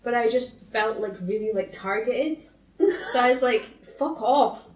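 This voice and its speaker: A woman's voice: fairly high pitch, measured pace. Woman, casual podcast co-host, candid and sweary storytelling, roomy echo, dull sound. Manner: sweary, animated storytelling with quoted self-talk. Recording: echoey room, dull muffled sound.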